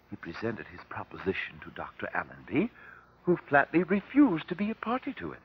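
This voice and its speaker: An actor playing a dignified, guarded professor who answers formally and precisely, in an old radio drama. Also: male voice, medium pitch, breathy edge, exaggerated voice. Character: flustered, dignified male professor, long-serving colleague of victim. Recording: dull, lo-fi old radio recording.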